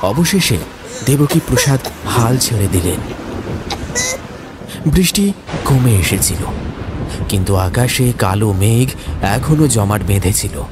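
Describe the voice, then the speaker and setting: A man's voice: mid-range voice, animated, slow pace, long pauses, heavy background noise. A man narrating a scripted horror story in a dramatic, suspenseful, theatrical way. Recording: loud music under the voice.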